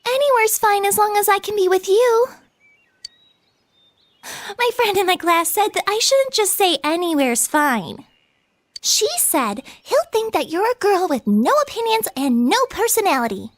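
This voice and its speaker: A woman's voice: extremely high pitch, very animated, moderate pace, no echo. A woman voicing a shy, devoted teenage schoolgirl in an anime-style video game, girlish and sweet, with a hesitant, flustered, polite way of talking.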